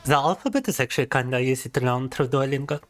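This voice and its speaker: A young man chats on his livestream, playful and teasing as he answers chat. His voice sits at an ordinary middle pitch. Faint music plays quietly underneath him. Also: fast. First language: Russian. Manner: playful and teasing, reads chat aloud and laughs often. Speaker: VTuber streamer.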